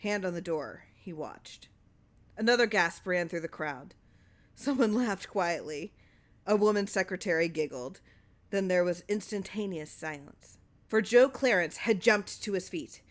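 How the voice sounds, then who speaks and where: Woman reading a story, lowish voice. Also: lively intonation, a little slow. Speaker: story reader. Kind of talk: scripted narration of a short story.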